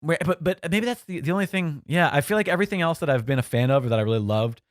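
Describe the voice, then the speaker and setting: A man's voice: on the high side, quick pace, no echo, studio quality. A man in his forties, possibly, co-hosting a podcast in casual chat, rambling and self-correcting with a joking tone. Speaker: podcast co-host.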